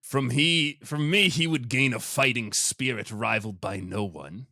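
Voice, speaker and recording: Man plays gruff mercenary; short, confident, matter-of-fact. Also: mid-range voice, unhurried, bright, forceful tone, dry recording, clean studio sound. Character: blunt, confident male mercenary.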